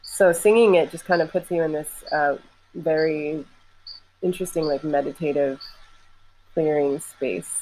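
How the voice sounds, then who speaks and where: A woman's voice: low voice, a little slow, warm tone, rough recording. A young adult woman musician in casual conversation, talking loosely with many "like" fillers and easy laughter. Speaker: singer and musician.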